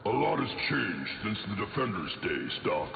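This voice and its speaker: Gravelly, brash superhero. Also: male voice, fairly low pitch, sing-song, normal speed, muffled recording, soft background music, full character voice. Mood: friendly. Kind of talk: video game hero banter.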